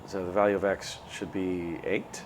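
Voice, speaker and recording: A man live-streaming a visual novel, reading text aloud and chatting in a self-deprecating way as he thinks out loud. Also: sits low, level tone, normal speed, slight background noise. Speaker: game streamer.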